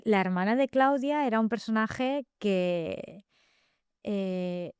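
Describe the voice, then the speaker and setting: A middle-aged woman with a Catalan accent, a novelist talking reflectively in an interview, often pausing with fillers and tag questions. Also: mid-range voice, a little mellow, clear, resonant tone, dry recording, studio quality. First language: Spanish. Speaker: guest novelist. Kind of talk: thoughtful interview talk.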